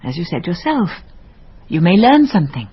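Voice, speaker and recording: An actress playing a worldly French countess and storyteller in a radio drama, husky, aristocratic and drily ironic. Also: female voice, on the low side, very animated, normal speed, dull audio, full character voice. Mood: storytelling, ironic.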